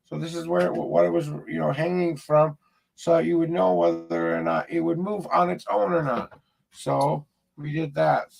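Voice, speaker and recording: A man with a gravelly voice, hosting his own paranormal show, talking casually to camera in a rambling, plainspoken way. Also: high-pitched, fairly bright tone, low-quality recording. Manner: rambling, plainspoken, restarts sentences. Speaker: paranormal investigator host.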